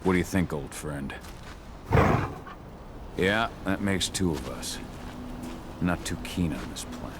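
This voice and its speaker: An actor voicing a gruff werewolf warrior and steady, protective father in a video game, in a calm, measured, gravelly voice. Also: low voice, heavy background noise. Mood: steady, reassuring. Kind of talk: video game cutscene acting.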